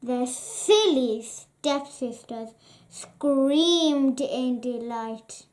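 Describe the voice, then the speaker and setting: A woman reading a children's picture book aloud at bedtime, slow and expressive. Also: sits high. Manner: slow, expressive, sing-song read-aloud delivery. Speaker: storybook reader.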